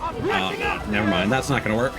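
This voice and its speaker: A man's voice: mid-range voice, huge pitch swings, normal speed. A man giving casual, playful let's play commentary as a game streamer. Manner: playful, jokey. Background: game music.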